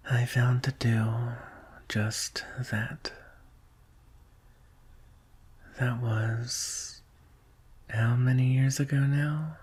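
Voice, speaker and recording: A man playing a devoted, solemn male dragon guard in an intimate ASMR roleplay, speaking in a hushed, wistful, husky voice that often drops to a whisper. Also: mid-range voice, flat delivery. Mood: tender, wistful. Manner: hushed, deliberate, with long pauses.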